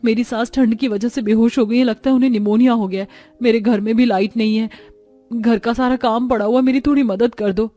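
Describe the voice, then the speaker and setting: A woman's voice: on the high side, steady tone, a little fast, mellow, soft background music, close to an everyday voice. A woman playing a spoiled, quarrelsome rich housewife in a cartoon story, with a complaining, demanding manner. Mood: pleading. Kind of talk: cartoon moral-story dialogue.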